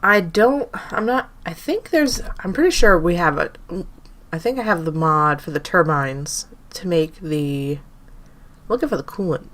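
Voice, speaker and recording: A woman's voice: lowish voice, expressive, measured pace, fairly bright tone. A young woman with a stuffy, nasal voice from allergies, rambling casually through gameplay commentary and correcting herself as she thinks aloud. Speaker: gaming channel host.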